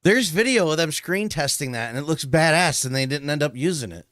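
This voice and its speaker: A man's voice: on the high side, lively intonation, a little fast, a bit bright, no room sound, clean studio sound. A man co-hosting a casual toy podcast, laid-back and punny, laughing at his own jokes. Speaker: podcast co-host. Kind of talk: casual chat.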